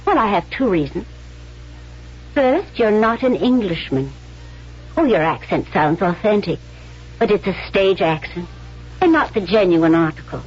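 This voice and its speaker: Cunning young actress, genteel, theatrical, animated pitch, slightly husky, radio drama. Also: female voice, a little slow, long pauses, muffled recording. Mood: coolly shrewd. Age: young adult. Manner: theatrical, genteel, coolly self-assured. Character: poised, cunning young actress with romantic Southern sympathies. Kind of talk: 1970s radio drama acting. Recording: band-limited vintage radio broadcast with music.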